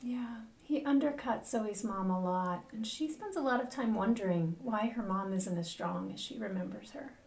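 A woman's voice: mid-range voice, moderate pace, creaky, hardly any pauses, darkish tone, recorded from a distance. A woman hosting a conversational book podcast, thoughtful and reflective, recorded in a roomy space away from the mic. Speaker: podcast host.

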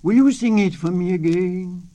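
A woman voicing a sly, hungry male coyote with a barking voice, speaking slowly and loudly. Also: on the low side, pitched above the performer's own voice, lightly acted. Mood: menacing.

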